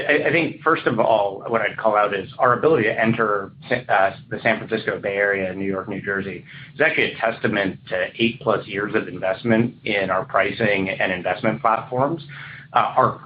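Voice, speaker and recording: A man speaking as a company executive, answering questions on an earnings call in measured business language. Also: on the low side. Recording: muffled conference line, faint noise.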